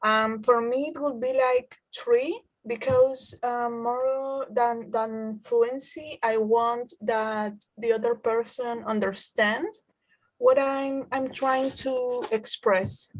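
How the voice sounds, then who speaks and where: Young woman reading out questions slowly, kinda high voice. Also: steady tone, rough recording. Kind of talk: class discussion.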